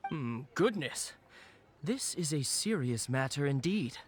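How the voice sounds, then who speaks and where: A man's voice: fairly high pitch, expressive, slow pace. A man voicing a formal, courteous government official in a video game. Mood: measured, reassuring. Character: formal, measured male government official, politely helpful.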